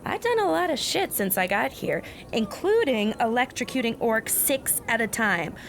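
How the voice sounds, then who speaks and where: Higher-pitched, level-headed American teenage girl. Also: expressive, moderate pace, a little hiss or hum. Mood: firm. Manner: reasoning, assertive. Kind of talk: audio drama acting.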